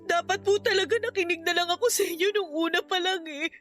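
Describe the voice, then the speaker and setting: A woman's voice: very high voice, steady tone, fast, fairly bright tone, faint music behind. A woman playing a young, remorseful niece in a radio drama, crying and pleading respectfully. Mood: tearful, remorseful. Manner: pleading, deferential. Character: tearful, remorseful young niece, grateful to her aunt. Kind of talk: Filipino radio drama acting.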